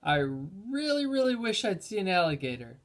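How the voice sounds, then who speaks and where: Man as storybook small boy: keen, confident, high-pitched. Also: sing-song, normal speed. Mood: eager. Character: eager, confident little boy.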